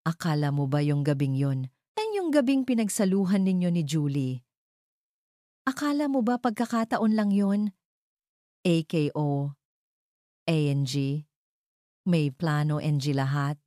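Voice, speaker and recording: A woman's voice: low-pitched, lively intonation, measured pace, long pauses, warm tone, no echo, studio quality. A woman voicing an arrogant, scheming male villain in an audio drama, taunting and speaking with heavy emphasis. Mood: gloating. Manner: taunting, emphatic, spelling words out.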